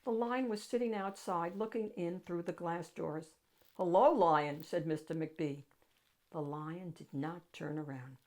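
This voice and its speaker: A woman narrating a children's picture book aloud with dramatic, expressive storytelling. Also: on the low side, measured pace.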